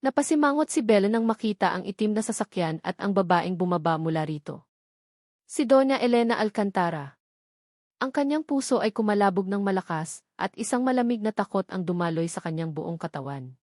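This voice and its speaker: A woman's voice: mid-range voice, expressive, fairly quick, darkish tone, no room sound, clean studio sound. A woman narrator reading a scripted romantic drama in a storytelling style.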